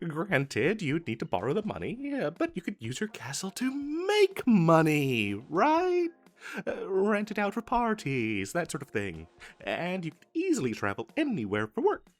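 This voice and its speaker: A man's voice: a little high, wildly swooping pitch, unhurried, faint music behind, heavily acted. A man playing an eager, pushy wizard salesman in a comedic fantasy roleplay, chatty and stammering through his sales patter. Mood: coaxing, nervous.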